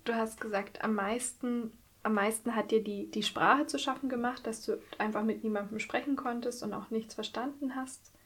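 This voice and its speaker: A woman interviewing a guest, asking gentle, encouraging questions. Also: a little high, fairly even intonation, moderate pace, few pauses.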